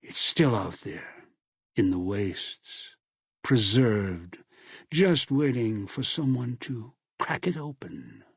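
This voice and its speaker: An actor voicing a bitter, obsessive old schemer in a video game, with a gravelly voice and an ominous, deliberate delivery. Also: male voice, fairly low pitch, very animated, dry recording, clean studio sound, heavily acted. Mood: ominous, brooding. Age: older. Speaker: video game villain and narrator. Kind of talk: dramatic video game voice acting.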